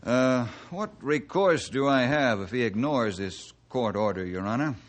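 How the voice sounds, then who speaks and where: An actor playing a firm, upright forest ranger, earnest and assertive, in a 1950s radio drama. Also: male voice, mid-range voice, animated, unhurried, close to an everyday voice.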